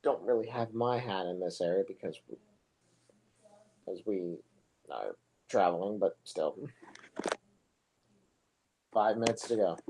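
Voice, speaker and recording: A young man giving relaxed, rambling live play-by-play for a soccer match, his voice low and monotone, with chatty asides between plays. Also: measured pace, long pauses, slight background noise, rough recording. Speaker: soccer commentator. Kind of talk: casual live play-by-play commentary.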